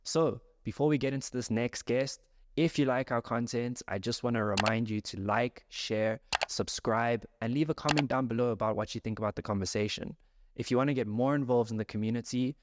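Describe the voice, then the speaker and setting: A man's voice: medium pitch, no echo, studio quality. A man hosting a podcast, reading an upbeat intro and then chatting with his guest in a loose, filler-heavy way. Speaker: podcast host. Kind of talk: podcast intro and casual interview.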